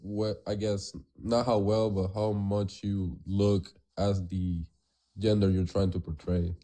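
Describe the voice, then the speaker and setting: Young man, non-native English, low and flat, hedging. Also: slow pace. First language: not English. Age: 20s. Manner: tentative, hedging. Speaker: debate guest.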